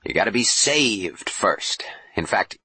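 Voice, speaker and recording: A man voicing a brash, jovial bishop in an audiobook, a folksy, booming hard-sell showman. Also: expressive, takes it slowly, bright, forceful tone, slightly rough, dry recording, exaggerated voice. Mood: hearty, preachy. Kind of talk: audiobook character voice.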